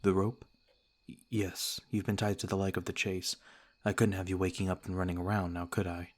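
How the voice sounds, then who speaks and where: A man playing a courteous, cautious noble vampire lord in an ASMR roleplay, speaking in a formal, gentle, measured way. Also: low voice, little pitch movement. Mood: calm, guarded courtesy.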